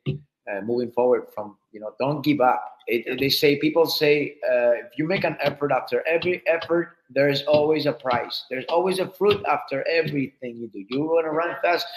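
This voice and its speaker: A man on a group call giving halting encouragement, often repeating words as he restarts his sentences. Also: medium pitch, a little hiss or hum. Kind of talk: casual encouraging talk. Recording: echoey room.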